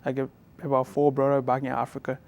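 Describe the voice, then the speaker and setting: A young man from Liberia with a Liberian accent, answering interview questions softly and haltingly as a college student guest. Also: mid-range voice, completely flat delivery, gravelly. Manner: soft-spoken, halting, with many restarts.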